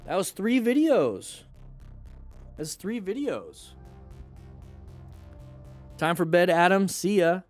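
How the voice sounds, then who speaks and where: A man's voice: high voice, big pitch swings, slow, pauses often, faint music behind. A man hosting a casual livestream, reviewing motorcycle clips and chatting loosely between them, his voice stuffy and nasal from congestion. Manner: chatty, loose asides, plugs a sale.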